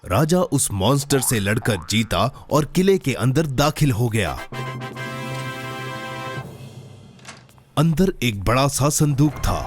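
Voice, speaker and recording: Patient adult male cartoon storyteller, acted by a man: unhurried, animated melody, gentle, over music. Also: mid-range voice, natural voice. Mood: storytelling. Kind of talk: children's bedtime storytelling, cartoon dub. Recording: clear music behind the voice.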